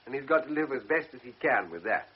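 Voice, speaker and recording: An earnest young cavalry lieutenant, voiced by an actor, speaks politely and hesitantly in a mid-range voice. The recording has the muffled tone and faint hiss of an old radio drama. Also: male voice, medium pitch, lively intonation, fast talker, lightly acted.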